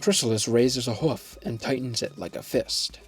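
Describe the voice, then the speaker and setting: A male narrator delivers scripted action and scene descriptions for an audio drama. His voice sits at an ordinary pitch, with faint music underneath. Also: normal speed, slightly rough.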